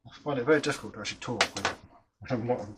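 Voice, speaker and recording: A man's voice: medium pitch, fast talker, heavy background noise. A middle-aged man chatting casually while building a model aircraft, rambling and often restarting his sentences.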